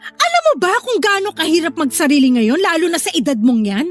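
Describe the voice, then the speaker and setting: A very high female voice with a Filipino accent , an actress as a hot-tempered big sister. She nags and challenges in melodramatic radio-drama dialogue. Music plays softly behind her. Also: big pitch swings, brisk. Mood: scolding. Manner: nagging, confrontational. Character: bossy, hot-tempered working older sister, scolding.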